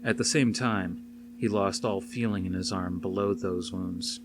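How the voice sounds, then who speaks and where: Low-voiced man reading a dramatic story. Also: fairly even intonation, a little slow. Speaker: audiobook reader. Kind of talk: dramatic scripted narration. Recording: faint noise behind the reading.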